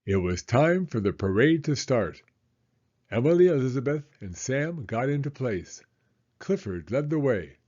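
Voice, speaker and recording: Lively male children's storybook narrator. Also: medium pitch, highs cut off. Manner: expressive, deliberate storytelling. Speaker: storybook reader. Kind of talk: children's read-aloud narration.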